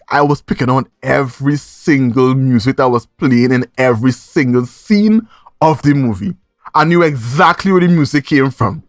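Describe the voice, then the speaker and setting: A man's voice: on the high side, no echo, studio quality. A man with a Trinidadian accent hosting a podcast in casual review chat, speaking emphatically and repeating phrases for effect. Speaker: podcast host.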